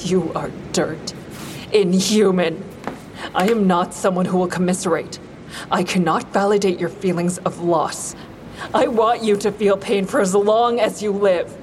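An actress playing a bitter, grieving mother who is cold and unforgiving toward her ex-husband, in an audio drama with intense, deliberate delivery. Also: mid-range voice, fairly even intonation, a little mellow, natural voice. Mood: angry, scornful. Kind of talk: full-cast audio drama, first-person narration and dialogue. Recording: clearly noisy outdoor traffic ambience.

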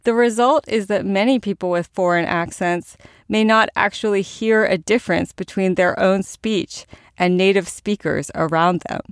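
A woman hosting a science podcast, reading scripted narration in a measured, explanatory way. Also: medium pitch, smooth, no room sound, studio quality. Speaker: podcast host.

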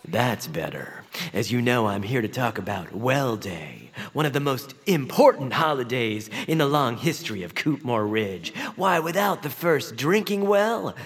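An actor playing a vain, excitable, self-important little creature in a family audio drama, with theatrical delivery. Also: male voice, mid-range voice, measured pace, few pauses, husky, exaggerated voice. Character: Noah, excitable, vain male puppet-like creature full of himself. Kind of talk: family audio fiction acting.

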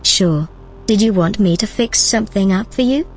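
A woman playing a calm, helpful, gently teasing artificial intelligence in a naturalistic audio drama. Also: medium pitch, normal speed, soft background music, lightly acted. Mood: obliging, playful. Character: calm, obliging, playful female artificial intelligence.

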